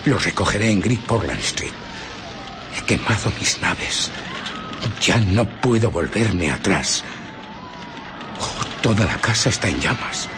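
An actor playing a bitter, haunted scientist and fugitive, recounting his ordeal in a radio play with theatrical, deliberate pauses. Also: fairly low pitch, animated, background noise. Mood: anguished. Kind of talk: dramatic radio play narration.

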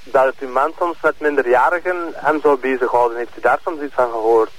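Actor as a methodical, halting Flemish detective. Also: male voice, medium pitch, lightly acted, poor audio. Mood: probing, matter-of-fact. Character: methodical, matter-of-fact male police investigator. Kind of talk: police interrogation scene in a radio drama.

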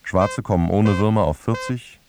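A man's voice: on the low side, wildly swooping pitch, normal speed, music in the background. A man reading quoted passages from a script for a radio feature. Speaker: reader of quotations.

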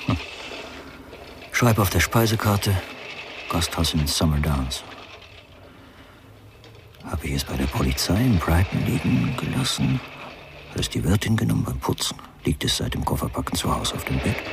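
An actor playing a brooding, self-possessed writer in a German radio crime drama, speaking tersely and musingly. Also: male voice, deep voice, vocal fry, background noise, exaggerated voice. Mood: musing, irritated. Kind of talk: German radio play acting.

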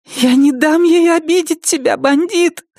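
Nettie, a shrill trembling older woman. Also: measured pace, darkish tone, dry recording, studio quality. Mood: tearful defiant. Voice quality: high, thin, trembling voice. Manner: shrieking, panicked, near tears. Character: timid, high-strung older woman, shrill and shaky. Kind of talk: audiobook character voice.

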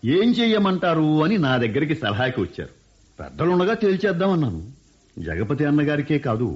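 A scheming politician son-in-law. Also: male voice, fairly high pitch, slightly rough. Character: calculating son-in-law, politician, smooth talker. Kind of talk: 1970s-style radio play acting. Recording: dull lo-fi radio broadcast.

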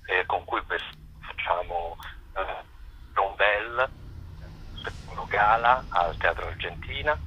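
A man, an artistic director, explaining haltingly and reflectively in a radio interview over the phone. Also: low voice, bright, forceful tone, slight background noise. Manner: halting, searching for words. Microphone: telephone. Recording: muffled band-limited phone call.

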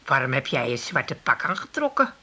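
A woman's voice: rumbling low, very animated, normal speed, sharp tone, slightly rough, heavily acted. A woman playing a dry, sarcastic, unimpressed housewife in a comedy radio play. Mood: dry, sardonic. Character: sharp-tongued, unimpressed Amsterdam housewife.

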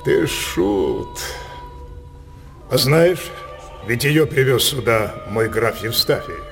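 A decadent aging king speaks in slow, declamatory verse for a radio play. He is sensual and imperious, savoring each phrase with theatrical weight. Faint music plays behind his mid-pitched voice. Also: big pitch swings, creaky, exaggerated voice. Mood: imperious, scheming.

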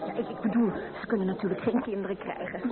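An actress playing a chatty, gossiping, excitable young woman at a wedding in a radio play. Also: mid-range voice, fast, natural voice. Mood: gossipy. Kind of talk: Dutch radio drama acting. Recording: tinny, band-limited old radio recording with crowd.

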